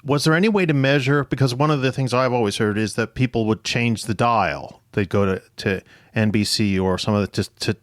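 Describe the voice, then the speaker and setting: A man's voice: medium pitch, a little fast, hardly any pauses, no echo, studio quality. A man hosting a history podcast, asking questions and chatting conversationally with guests. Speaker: podcast host. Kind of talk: conversational interview on a history show.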